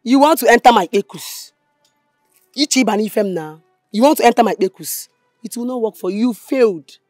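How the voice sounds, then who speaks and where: A woman's voice: on the high side, expressive, a little fast, a little mellow, no echo, studio quality, faint music behind. Scornful Nigerian woman. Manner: scornful, dismissive. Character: sharp-tongued young woman brushing off a suitor. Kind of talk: film acting.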